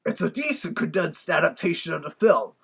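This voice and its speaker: A husky cartoon wolf villain, acted out by a man turned casual reviewer. The voice is gravelly and swings widely in pitch, with a chatty, sentimental way of talking. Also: lively intonation, a little fast, a bit bright, full character voice. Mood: chatty, amused. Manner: conversational, brief sympathetic interjections. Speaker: reviewer, host. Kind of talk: casual review with reactions to a played record.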